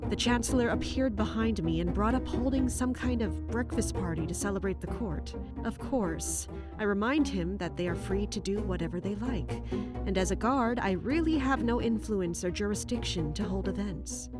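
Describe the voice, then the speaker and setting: A woman's voice: mid-range voice, expressive, moderate pace, over loud music, lightly acted. An actress playing a dutiful, exasperated female palace guard captain in an audio drama, speaking in a wry, formal way. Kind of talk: audio drama monologue and dialogue.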